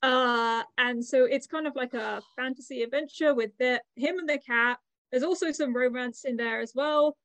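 A woman's voice: sits high, normal speed, a bit bright, no room sound. A woman co-hosting a podcast, chatting casually about books in a rambling way. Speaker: podcast co-host. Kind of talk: casual book chat.

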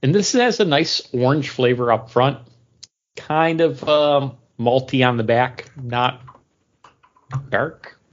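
A man's voice: medium pitch, slow. A man co-hosting a podcast in casual chat, talking in a rambling, hesitant, conversational way. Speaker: podcast co-host. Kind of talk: casual chat, drink review. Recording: dull lo-fi recording.

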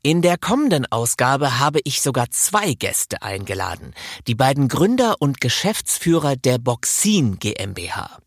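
A man's voice: mid-range voice, big pitch swings, measured pace, slightly rough, dry recording, studio quality. A man hosting a podcast, addressing listeners directly in an informal, chatty scripted style. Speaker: podcast host.

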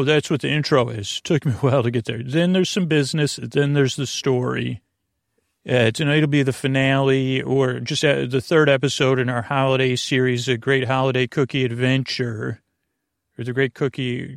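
A man's voice: medium pitch, vocal fry, no echo, clean studio sound. A man hosting a bedtime podcast in a meandering, hesitant, slightly goofy monologue that keeps circling back on itself. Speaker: podcast host.

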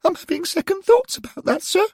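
A man voicing a nervous, sulky schoolboy ghost in a high squeaky falsetto who whines and ends his lines with "sir". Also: expressive, fairly quick, creaky, a little mellow, dry recording, studio quality, full character voice. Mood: whiny.

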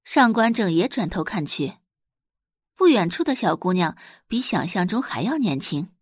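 A female audiobook narrator tells a story with widely moving intonation. The voice has a husky grain and is recorded close and dry, in a scripted, expressive storytelling style. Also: medium pitch, normal speed, dry recording.

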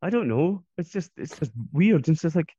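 A man's voice: a little high, lively intonation, moderate pace, dark tone, husky, no echo, lo-fi sound. A Scottish man co-hosting a podcast from his home office, chatting in a hesitant, self-conscious way. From Scotland. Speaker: podcast co-host. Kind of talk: casual chat.

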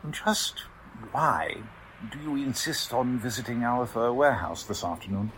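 Actor as a fussy clerk with swooping intonation. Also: male voice, medium pitch, animated, measured pace, fairly bright tone, a little hiss or hum, poor audio. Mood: hesitant, polite. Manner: prim, hesitant, courteous. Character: fussy, formal, hesitant male chief clerk. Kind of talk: 1940s radio drama acting.

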